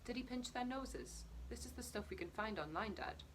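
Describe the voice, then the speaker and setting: A woman's voice: a little high, animated, normal speed, a little hiss or hum, voice pushed up. A woman reading as a protective, practical daughter, earnest and coaxing, in a short story narration.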